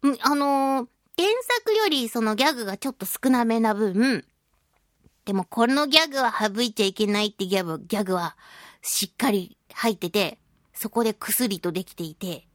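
A woman's voice: mid-range voice, no room sound, studio quality. A woman hosting a radio talk show, chatting casually and expressively as she talks with listeners. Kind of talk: casual chat, reading and answering listener mail.

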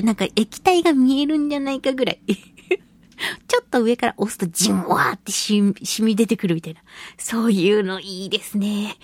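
A woman hosting a casual solo radio talk and reading listener mail, chatty, giggly and expressive, with a slightly breathy voice. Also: a little high, dry recording. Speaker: radio show host and voice actress.

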